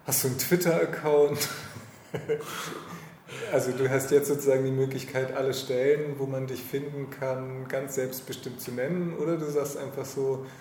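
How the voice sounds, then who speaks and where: A man's voice: medium pitch, steady tone, moderate pace, a little mellow. Male podcast host, mid-range voice, loose ironic chat, roomy recording with faint noise. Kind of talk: casual chat. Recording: roomy echo with background noise.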